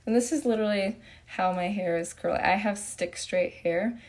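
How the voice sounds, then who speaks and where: A young woman from Japan hosting a relaxed live Q&A stream, answering viewer comments in a chatty way with lots of fillers. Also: mid-range voice, moderate pace. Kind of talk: casual live Q&A chat, reading comments.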